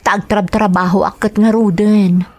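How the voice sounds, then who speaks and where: A woman's voice: mid-range voice, steady tone, a little slow, natural voice. An actress with an Ilocano accent playing a dutiful young working woman in a radio drama, earnest and pleading. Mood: earnest explaining.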